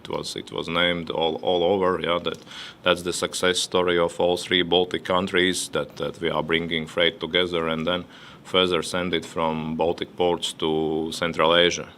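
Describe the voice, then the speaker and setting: A man on a discussion panel giving his remarks, halting and often repeating words. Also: low voice, little pitch movement, fairly bright tone, a little hiss or hum. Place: conference hall.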